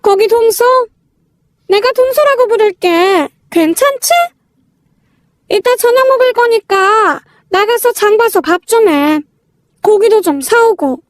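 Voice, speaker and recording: A woman playing a rude, bossy, quick-tempered elder sister-in-law in a narrated family drama, scolding and talking down bluntly. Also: very high voice, expressive, dry recording, studio quality. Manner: scolding, talks down in blunt informal speech.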